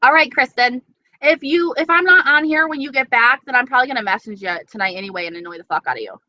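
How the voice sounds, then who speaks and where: A woman's voice: high-pitched, expressive, fast talker, bright, forceful tone, no echo, highs cut off. A young woman with an East Coast accent chatting casually on a live stream, reading viewer comments and swearing freely. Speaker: beauty vlogger.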